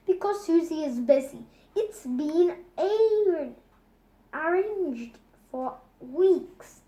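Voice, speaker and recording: A young boy voicing a firm, insistent mother from a storybook, brisk and scolding. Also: very high voice, animated, mellow, full character voice. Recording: roomy, echoing home recording.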